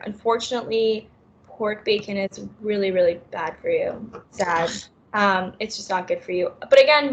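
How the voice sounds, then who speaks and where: A woman's voice: fairly high pitch, a bit bright. Chatty young woman on a video call. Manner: chatty, filler-heavy, laughs often. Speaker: wellness presenter. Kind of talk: casual health Q&A on video call. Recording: slightly dull video call audio.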